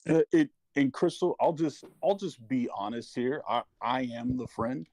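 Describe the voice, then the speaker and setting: A middle-aged man speaking personally and earnestly, haltingly searching for his words. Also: medium pitch, a little mellow, no echo. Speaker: parent speaking as a panel guest. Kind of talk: personal testimony in casual talk.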